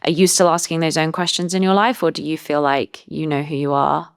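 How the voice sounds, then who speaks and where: A woman's voice: low voice, level tone, a little fast, vocal fry, clear, resonant tone, no room sound, clean studio sound. A woman interviewing conversationally, reflecting thoughtfully on what she has heard and putting questions to her guest.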